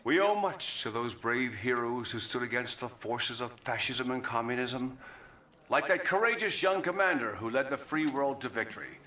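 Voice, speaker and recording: An actor playing a pompous, folksy American vice president giving a podium speech in a video game cutscene, with an American accent. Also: male voice, mid-range voice, lively intonation, moderate pace, bright, forceful tone. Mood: solemn, reluctant. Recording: echoey podium hall sound.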